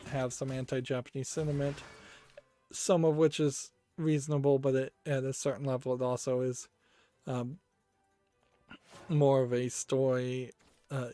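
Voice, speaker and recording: A man of middle pitch thinks aloud as he hosts a game news channel, giving loose, unscripted commentary while browsing store listings. He pauses often and hesitates mid-thought. Soft music plays faintly in the background. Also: level tone, no echo.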